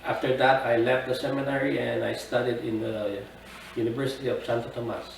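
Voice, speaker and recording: A man's voice: medium pitch, little pitch movement, creaky. A man in his fifties from the Philippines, recalling his life at an easy pace in a plain, matter-of-fact interview. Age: 50s. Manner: unhurried, plain-spoken recollection with fillers. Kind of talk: oral history interview. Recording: echoey room, distant mic, faint noise.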